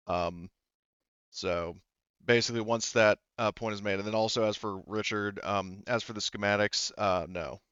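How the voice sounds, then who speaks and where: Guy game mastering, smooth lowish voice, kinda rambly, dry but dull mic. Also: fairly even intonation, normal speed, a bit bright, dry recording. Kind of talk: casual tabletop game narration.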